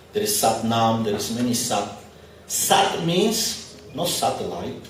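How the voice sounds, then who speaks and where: A man's voice: mid-range voice, darkish tone, slight background noise. A man whose first language is not English, giving a conversational spiritual lecture with light humor to a live audience at an expo. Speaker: spiritual teacher. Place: large echoey venue at an expo. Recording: very echoey hall, speaker far from mic.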